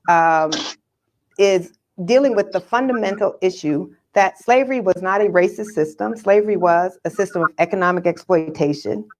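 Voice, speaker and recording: A woman giving explanatory commentary in conversation, articulate and emphatic, with measured pauses. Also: on the low side, a little mellow.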